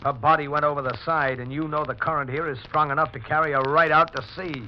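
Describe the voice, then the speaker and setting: A man's voice: a little high, fairly even intonation, slight background noise, lightly acted. Man as stern 1940s radio homicide detective. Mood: accusing. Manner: deliberate, precise, dramatic. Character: stern, methodical male police homicide lieutenant giving testimony. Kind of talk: 1940s radio drama acting. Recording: muffled 1940s radio recording with music.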